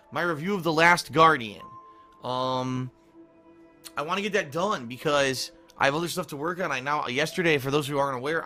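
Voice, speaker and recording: A man with a hoarse, strained voice that still sounds bright and tense, talking casually to his stream audience. He rambles loosely, clears his throat often and keeps tagging on "okay?" as he talks. Faint music plays underneath. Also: on the high side. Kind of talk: casual pre-stream schedule talk to chat.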